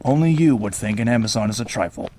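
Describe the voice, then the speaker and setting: A man's voice: lowish voice, fast, background noise, close to an everyday voice. A man voicing a brooding young vigilante antihero in a comic-book cartoon, speaking in a dry, sardonic way. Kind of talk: comic-book cartoon acting with inner monologue. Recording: music behind the voice. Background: music.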